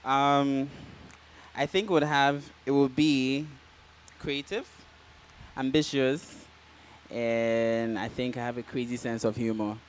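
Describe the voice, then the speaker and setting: A Ghanaian man answering interview questions in a relaxed, casual way, with many "um"s and "like"s. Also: medium pitch, slow pace, a little hiss or hum. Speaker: guest fashion designer.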